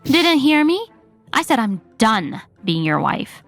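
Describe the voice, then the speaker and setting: A calm, self-assured wife, voiced by a woman in a manga dub. She answers her husband coolly and lets her quiet composure do the cutting. The voice is fairly high, with faint music underneath. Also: takes it slowly, heavily acted. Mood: cold.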